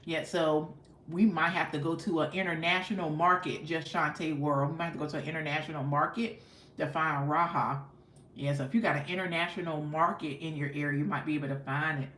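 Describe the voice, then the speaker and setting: A woman's voice: low-pitched, steady tone, fairly quick, fairly bright tone, slight background noise. An American woman hosting a casual livestream, chatting with viewers in an effusive, folksy way with repeated thanks and affirmations. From United States. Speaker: livestream host. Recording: echoey, roomy sound.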